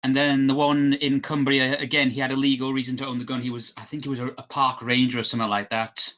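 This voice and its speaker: Bright-voiced British man explaining casually. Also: medium pitch, little pitch movement, fast, muffled recording. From Britain. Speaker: podcast panelist.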